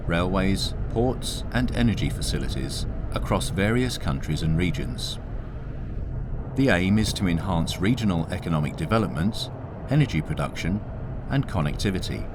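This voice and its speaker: A man giving a scripted, informative narration in a flat delivery. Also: deep voice, moderate pace. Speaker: narrator and court clerk. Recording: noisy background.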